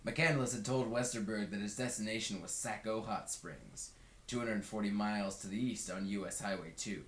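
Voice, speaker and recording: A man's voice: fairly low pitch, normal speed. A man narrating a book aloud as a scripted read-along. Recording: roomy, echoing home recording.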